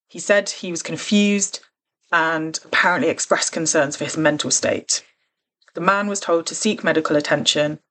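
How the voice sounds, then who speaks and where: A woman's voice: sits low, a bit bright. Earnest woman hosting a podcast. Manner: earnest, conversational, careful. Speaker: podcast host. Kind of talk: true crime podcast narration.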